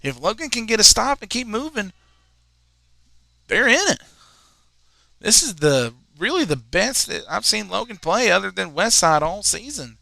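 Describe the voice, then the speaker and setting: Bright, chatty young male commentator. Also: sits high, moderate pace, gravelly, long pauses, dry recording. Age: 20s. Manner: chatty, rambling asides between plays, excited on goals. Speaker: play-by-play commentator. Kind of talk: high school soccer commentary.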